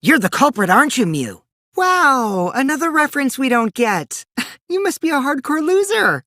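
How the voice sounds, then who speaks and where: A very dry, close recording of a boyish, high-voiced teenage trickster from a video game. The delivery is theatrical and taunting, swinging widely between sing-song teasing and cold deceit. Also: male voice, very animated, moderate pace, pushed and bright, dry recording, exaggerated voice. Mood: mocking, playful. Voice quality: boyish, light. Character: mischievous, taunting teenage boy schemer, gleefully deceitful. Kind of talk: animated video game dub acting.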